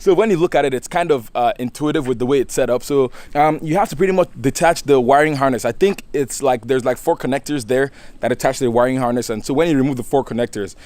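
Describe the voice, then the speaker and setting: A young man chats through a how-to video outdoors beside his car in a loose, goofy way. He often laughs and circles back to restart his sentences, and his voice sits at an ordinary middle pitch. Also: quick pace, hardly any pauses, a little mellow. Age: young adult. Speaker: car vlogger. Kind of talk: casual how-to vlog.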